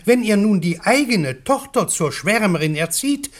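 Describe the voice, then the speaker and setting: An actor playing an argumentative, high-minded friend in a radio play, declaiming his reproaches theatrically. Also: sing-song, moderate pace. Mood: reproachful.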